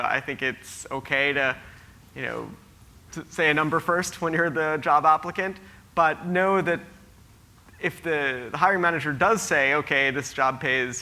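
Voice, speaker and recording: A man's voice: a little high, sharp tone. A man moderating a conference panel, answering questions in a hesitant way with many fillers and restarts. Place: conference hall.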